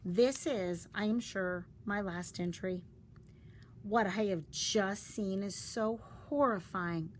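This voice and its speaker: A woman reading a story aloud in a deliberate, dramatic storytelling style. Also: mid-range voice. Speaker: storybook reader. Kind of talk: expressive read-aloud of a story. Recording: faint background noise.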